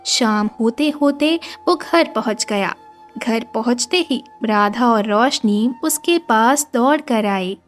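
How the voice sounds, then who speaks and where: A woman narrating an animated children's story as a scripted storyteller. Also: on the high side, slow pace. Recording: music behind the voice.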